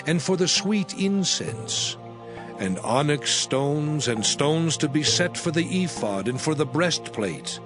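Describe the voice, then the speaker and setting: A man playing a solemn, commanding biblical lawgiver in a dramatized scripture reading, declaiming in a measured way. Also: fairly high pitch. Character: solemn commanding male biblical voice giving divine law. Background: music.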